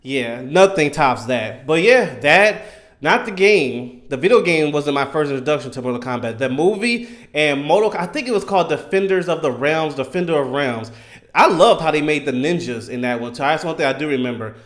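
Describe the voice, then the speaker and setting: A man in his thirties giving casual, enthusiastic fan commentary in an animated, emphatic, slangy way. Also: on the high side, normal speed. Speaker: gaming content creator.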